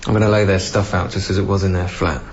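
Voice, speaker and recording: A man's voice: low-pitched, flat delivery, quick pace. An actor playing a devoted, hopeful young father and former club DJ in a naturalistic radio drama, with a tender, playful manner. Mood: playful, upbeat. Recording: dull lo-fi recording, clear background noise.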